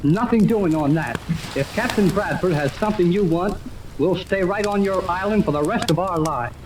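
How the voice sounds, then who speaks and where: A brash, excitable lad acted by a man speaks in youthful slang, in 1930s radio serial style. His voice is high and distant, with heavy hiss over it. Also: moderate pace, natural voice. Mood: defiant. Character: eager, brash young lad on an adventure. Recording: roomy, distant, noisy 1930s radio recording.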